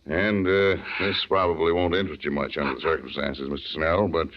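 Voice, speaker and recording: An American man acting a grave, tactful police lieutenant with measured, hesitant politeness in a 1940s radio drama. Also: very deep voice, steady tone. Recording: slightly muffled vintage radio recording.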